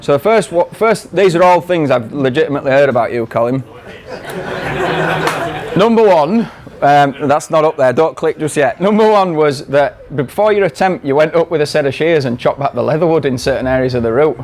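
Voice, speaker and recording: A man from Yorkshire, with a Yorkshire accent softened by New Zealand, giving a jokey, teasing talk to a live audience. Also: a little high, expressive, a little fast, a crowd in the background. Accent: Yorkshire English mixed with New Zealand. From Yorkshire, England. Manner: jokey, teasing, laughing through his lines. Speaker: guest speaker.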